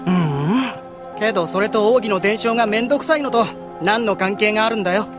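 A man playing a brash, excitable teenage swordsman in an anime audio drama, blunt and boyish in his speech. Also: high-pitched, a little fast, over music, natural voice. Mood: curious, blunt.

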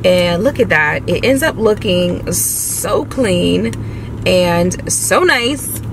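Young woman vlogging over clear car noise. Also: on the low side, animated, slow pace. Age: young adult. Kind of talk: casual chat to viewers. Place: inside a car at night. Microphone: camera mounted near the steering wheel.

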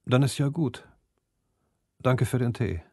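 A man's voice: mid-range voice, animated, unhurried, a little mellow, slightly rough, no echo, clean studio sound, voice pushed up. A man voicing a stern, plain-spoken village marksman in a fantasy audiobook. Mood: curt. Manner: firm, plain-spoken, admonishing.

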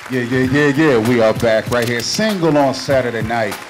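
A man's voice: mid-range voice, moderate pace, crowd noise. A man hosting a talk show in a restaurant bar, upbeat and hyping it up, with a handheld mic. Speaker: host. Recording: music playing loudly behind.

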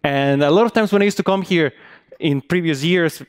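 A man's voice: on the high side, moderate pace, smooth. A man in his thirties from Mexico with a Mexican Spanish accent, giving a conference talk on a large stage with many hesitant fillers. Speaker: conference speaker.

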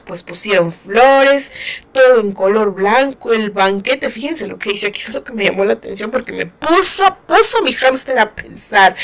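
A woman's voice: fairly high pitch, fairly bright tone. A woman with a northern Mexican Spanish accent giving casual, chatty commentary on a novel, retelling it playfully with asides to her listeners. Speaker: book commentator, channel host.

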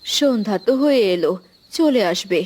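A woman voicing a gentle, careworn village mother in a children's cartoon, with a mild manner. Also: fairly high pitch, lively intonation, moderate pace. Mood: gentle, resigned.

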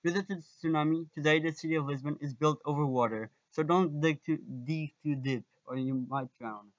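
High-voiced teenage Portuguese guy narrating. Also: fairly even intonation, a little fast, no room sound, dull audio. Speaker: student presenter and guide. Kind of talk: amateur documentary narration.

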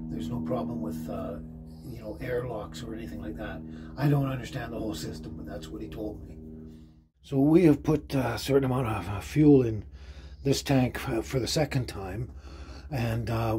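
A man's voice: fairly low pitch, mellow. An older man chatting casually to camera in a hesitant, rambling way. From Canada. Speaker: vlogger, host. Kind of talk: casual unscripted vlog talk. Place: outdoors at a forest campsite and inside a vehicle canopy. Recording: clear background noise, handheld outdoor audio.

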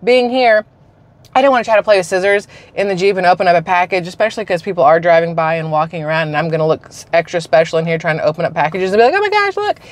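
A woman in her forties chats candidly into a dashboard camera from the driver's seat of a parked car. She rambles through everyday stories, slipping into quick quoted voices of the people in them. The sound is close and enclosed, like a car interior. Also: fairly low pitch, lively intonation. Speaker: vlogger. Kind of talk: casual chatty vlog. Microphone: camera mounted on the dashboard.

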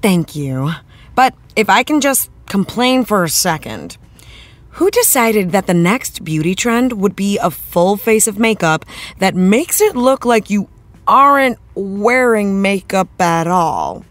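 A woman's voice: mid-range voice, animated, slow pace, dry recording. A woman playing a candid, playful actress being interviewed on a talk show, with a conversational, self-deprecating manner.

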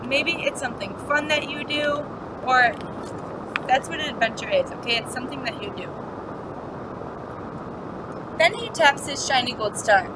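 A woman's voice: sits high, animated, normal speed, heavy background noise. A young adult woman reads a picture book aloud like a teacher, explaining things simply for small children. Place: indoor classroom, seated.

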